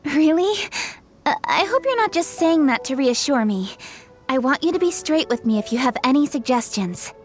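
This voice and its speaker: Earnest busy young woman in a game, high voice, sincere, faint music. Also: big pitch swings, normal speed. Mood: earnest, hopeful. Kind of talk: video game dialogue acting.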